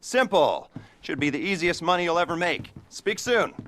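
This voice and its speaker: A man's voice: fairly high pitch, expressive, moderate pace, fairly bright tone, slight background noise. An actor playing a middle-aged criminal mastermind who briefs his crew with awkward, self-mocking humor and nervous laughter, in a video game cutscene. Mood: wry. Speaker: heist planner briefing a crew.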